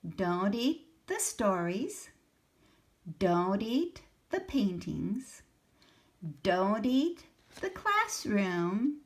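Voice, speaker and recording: A woman voicing an excitable, hungry little boy shark in a storybook read-aloud. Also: mid-range voice, extremely slow, voice pushed up, heavily acted. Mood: sheepish.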